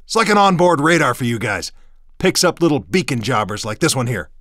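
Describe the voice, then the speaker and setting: An actor playing an earnest, easily exasperated resistance fighter in a video game, explaining things in a brisk, folksy way. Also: male voice, medium pitch, sing-song, fairly bright tone, dry recording, clean studio sound. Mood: helpful, businesslike.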